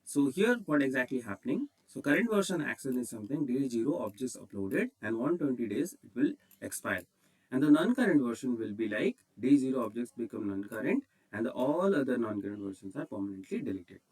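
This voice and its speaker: A man with an Indian accent presenting a step-by-step software tutorial in an instructional way, often checking in with "okay". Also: medium pitch, sing-song, a little fast, slightly rough, far from the mic, low-quality recording.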